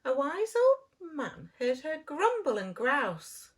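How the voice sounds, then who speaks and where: High, very animated woman storyteller. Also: slow. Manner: expressive, exaggerated emphasis, talking to little ones. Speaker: preschool story reader. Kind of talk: animated storytelling for young children.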